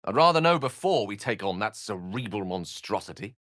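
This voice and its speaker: Scholarly wizard, fantasy game, lowish pitch, very animated intonation, articulate, wordy, drily witty, theatrical. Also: male voice, a little fast, no echo, studio quality. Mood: measured, thoughtful. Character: eloquent, scholarly male human wizard with dry wit. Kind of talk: fantasy video game voice acting.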